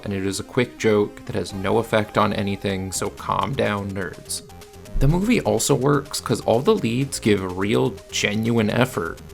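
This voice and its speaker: Low, creaky-voiced man reviewing films. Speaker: video essayist and film reviewer. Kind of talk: conversational scripted review narration.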